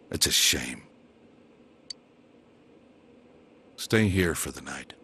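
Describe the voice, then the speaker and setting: A man's voice: rumbling low, animated, slow pace, bright, forceful tone, slight background noise, heavily acted. An actor playing a stern, protective middle-aged detective father in a video game, firm and blunt, with an American accent. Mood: disappointed. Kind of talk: English video game voice acting.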